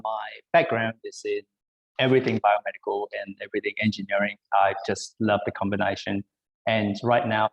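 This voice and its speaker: A man in his thirties from Malaysia, giving an online career talk over a video call and pausing often with ums and uhs. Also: lowish voice, gravelly, highs cut off. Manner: hesitant, many ums and uhs. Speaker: biomedical engineer, panel speaker.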